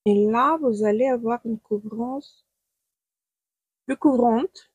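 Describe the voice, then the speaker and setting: A woman with a Ukrainian accent talks viewers through her makeup at home, friendly and a little hesitant as she looks for her words in French. Her voice sits fairly high and sounds slightly breathy. She speaks slowly, and the recording is dry and close. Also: darkish tone, no echo. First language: not French. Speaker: beauty stylist and makeup vlogger. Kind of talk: casual makeup tutorial talk to camera.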